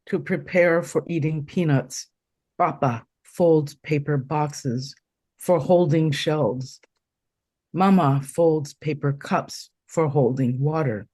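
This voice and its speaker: A woman from Taiwan, hoarse from a cold, reading her poem slowly and deliberately over a video call. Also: low voice, darkish tone, dry recording, studio quality. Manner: slow, deliberate recitation with pauses. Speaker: poet reading her work. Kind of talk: poetry reading.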